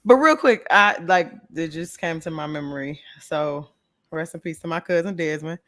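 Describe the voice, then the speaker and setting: A young woman co-hosting a casual podcast chat, a little hoarse, teasing and laughing often. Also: low-pitched, moderate pace, pushed and bright. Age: young adult. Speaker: podcast co-host.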